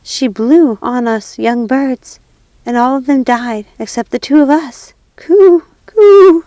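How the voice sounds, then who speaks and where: A woman voicing a small wood pigeon in a storybook, earnest and lilting, with drawn-out sounds. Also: sits high, warm tone, no echo, pitched above the performer's own voice. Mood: plaintive cooing. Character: cooing wood pigeons, small birds earnestly telling news. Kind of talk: storybook character voice.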